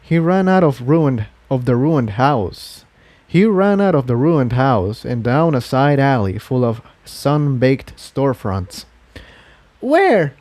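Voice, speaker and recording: Lower-voiced man narrating, dry sound. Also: expressive, takes it slowly, darkish tone, no echo. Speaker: fan fiction narrator. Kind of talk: scripted dramatic story narration.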